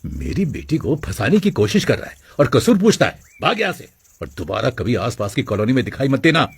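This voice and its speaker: A man's voice: medium pitch, sing-song, fairly quick, vocal fry, husky, full character voice. A man playing a harsh, threatening middle-aged father from a rich family in a story. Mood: menacing.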